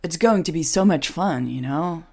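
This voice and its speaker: An enthusiastic male tech publicist in an audio romance story, acted out by a woman narrator. The voice is husky with a creaky edge, pushing its pitch emphatically and persuasively. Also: animated, moderate pace, darkish tone, heavily acted. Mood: eager, persuasive.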